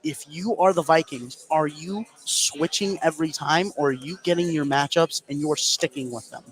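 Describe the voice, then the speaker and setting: A man calling live basketball play-by-play for radio, an excitable announcer whose voice climbs toward shouting on big plays. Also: fairly high pitch, normal speed, low-quality recording. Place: courtside in a school gym. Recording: clear gym noise behind the voice. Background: gymnasium ambience.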